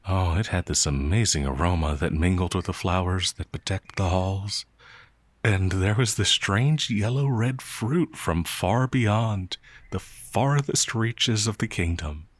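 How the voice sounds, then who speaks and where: A man playing a gentle, naive young peasant werewolf, hesitant and easily flustered, in a fantasy audio roleplay. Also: deep voice, unhurried. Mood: earnest, wistful.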